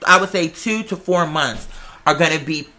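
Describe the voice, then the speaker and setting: A man's voice: a little high, normal speed, sharp tone. A man in his twenties with an American accent, chatting casually and emphatically with strong opinions. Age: 20s. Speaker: host and performer. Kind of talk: casual animated chat.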